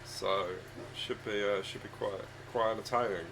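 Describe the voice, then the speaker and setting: A man with a husky, croaky voice, hoarse from a chest cold, chatting casually and rambling hesitantly while streaming a game from home on a desk microphone. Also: lowish voice, steady tone, normal speed, noisy background. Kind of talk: casual live-stream chat. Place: home room with his dog.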